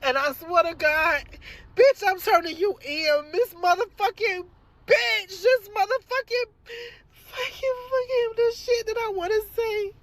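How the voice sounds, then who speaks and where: A woman's voice: extremely high pitch, unhurried, fairly bright tone, no echo. A distraught mother talks while crying, swearing heavily as she drives to report what she found. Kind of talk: emotional vlog monologue.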